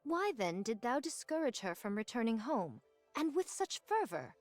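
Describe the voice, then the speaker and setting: A woman's voice: a little high, sing-song, moderate pace, no echo, faint music behind. A woman voicing a stern woman warrior in a game, with formal, archaic and reproachful speech. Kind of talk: scripted game voice acting.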